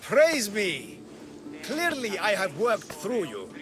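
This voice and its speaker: Over the top self-declared god in a video game, slow dramatic delivery, pompous, music going behind. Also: male voice, sits high, wildly swooping pitch, full character voice. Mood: exultant, proclaiming. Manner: theatrical, pompous, dramatic swells and pauses. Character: flamboyant self-proclaimed god, grandiose eccentric man with devoted followers. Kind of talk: video game cutscene acting.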